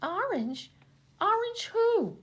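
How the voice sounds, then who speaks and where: A woman's voice: very high-pitched, sing-song, takes it slowly, exaggerated voice. A woman playing a dim, chatty vaudeville performer who is cheerfully oblivious, in a comedy radio play.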